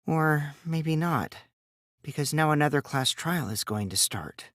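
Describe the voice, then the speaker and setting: A man's voice: on the high side, lively intonation, measured pace, no echo, studio quality. A man voicing a mischievous, childish teenage boy who lies and schemes, in a youthful, teasing, theatrical video game performance. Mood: low, sinister. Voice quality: boyish, youthful put-on voice. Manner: teasing, theatrical, swinging from singsong to hushed menace. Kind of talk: English video game voice acting.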